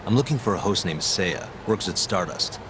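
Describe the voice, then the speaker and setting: A man playing a laid-back, deadpan private detective in a video game dub. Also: deep voice, normal speed, noisy background. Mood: awkward.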